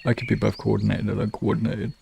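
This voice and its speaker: Guy streaming from his room, super gravelly voice, rambles n swears casually, noisy recording. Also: on the low side, flat delivery, dark tone. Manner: halting, rambling, informal with swearing. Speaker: streamer chatting with live viewers. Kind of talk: casual livestream chat. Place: room in an apartment.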